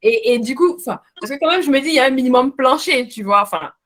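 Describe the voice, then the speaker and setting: A woman's voice: high-pitched, lively intonation, quick pace, a bit bright. A woman interviewing a guest in a casual, friendly way, thinking aloud with many fillers.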